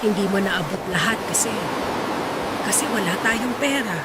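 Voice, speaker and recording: A woman playing a worried, loving mother of fifty in a Tagalog radio drama, fretful and gently scolding. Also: mid-range voice, normal speed, very noisy, natural voice. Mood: sad, apologetic.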